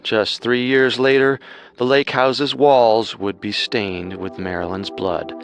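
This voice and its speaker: A man's voice: medium pitch, steady tone, takes it slowly, soft background music. A man co-hosting a true-crime show with scripted narration. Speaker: co-host, narrator.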